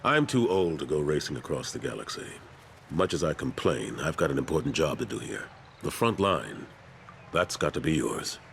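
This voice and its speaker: A weary older officer turned statesman. His low voice is measured and sincere, a game-cinematic delivery with a clear ambient hum behind it. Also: very animated, exaggerated voice. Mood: resigned, warm. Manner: measured, earnest, resigned. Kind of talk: video game cinematic acting.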